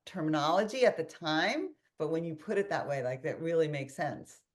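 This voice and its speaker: A woman with a fairly low voice talks over a video call link. She tells a story with lots of energy, restarting her sentences and acting out quoted asides as she hosts a casual webinar chat. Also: lively intonation, normal speed. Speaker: host and company founder.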